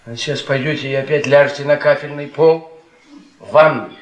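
An actor playing a bitter, mocking middle-aged husband and defeated intellectual in a theatrical radio play, sardonic and needling. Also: male voice, mid-range voice, steady tone, moderate pace, reverberant, dull audio, natural voice. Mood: mocking, taunting.

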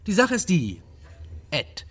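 A man's voice: sits high, huge pitch swings, slow pace, exaggerated voice. A man playing a cocky, menacing small-time crook in a radio crime drama, taunting and theatrical in delivery. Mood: mocking, excited.

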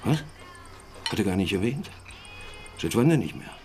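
A man's voice: lowish voice, sing-song, normal speed, darkish tone. An actor playing an easygoing but easily irritated young husband and father in a naturalistic radio drama, teasing and sometimes defensive.

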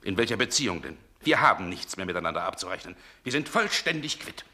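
An irritable proud gentleman in an old radio play, sharp and raspy, dismissive, accusing, worn thin. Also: low voice, sing-song, fast talker, heavily acted. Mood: cold, dismissive. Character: proud, irritable, weary gentleman, scornful and overwrought. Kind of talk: 1960s German radio drama acting. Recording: 1960 radio play recording.

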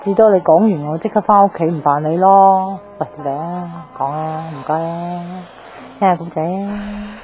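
A woman's voice: on the low side, normal speed, noisy background, lightly acted. An actress playing a brash, nosy young woman drinking at a bar in a radio drama, cheeky and coaxing with a Hong Kong Cantonese accent. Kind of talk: naturalistic radio drama acting. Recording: music behind the voice.

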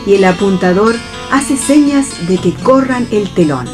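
A woman's voice: mid-range voice, animated, a little slow. A woman with an Argentine accent reading stage directions as the narrator of a radio play. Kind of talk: scripted radio theatre narration. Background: guitar music.

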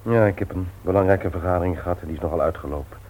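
An actor playing a busy, sociable brother in a radio play, talking in a brisk, frank, conversational way. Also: male voice, low-pitched, darkish tone. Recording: tinny, noisy old radio recording.

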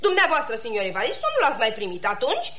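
Feisty, high-voiced village woman. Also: huge pitch swings, fairly bright tone, echoey room, heavily acted. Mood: forthright. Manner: pert, emphatic. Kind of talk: classic radio theatre comedy.

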